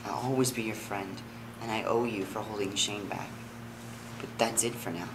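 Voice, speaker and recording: A man's voice: on the low side, fairly even intonation, normal speed, lightly acted. A man playing an earnest, principled, wounded young lover in a dramatic script reading. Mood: pleading, sad. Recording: clearly noisy room.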